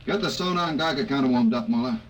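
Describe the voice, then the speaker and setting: A man's voice: medium pitch, fast, lightly acted, rough recording. A man playing a blunt army general who barks curt orders, acted in 1950s radio drama style, on a hissy old broadcast recording. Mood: stern.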